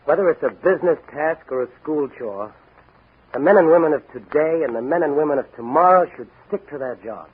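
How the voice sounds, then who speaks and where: A man's voice: fairly high pitch, lively intonation, fairly quick, husky. A man playing a confident, wisecracking young policeman hero in a 1940s radio drama. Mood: earnest. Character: bold, wisecracking young policeman and masked crime-fighting hero. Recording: tinny, hissy 1940s radio recording.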